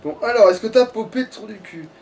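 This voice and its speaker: A man's voice: sits high, fast talker, a little mellow. A man in a casual, sweary gaming chat, ranting with mock outrage, recorded indoors at some distance from the mic. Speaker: let's player.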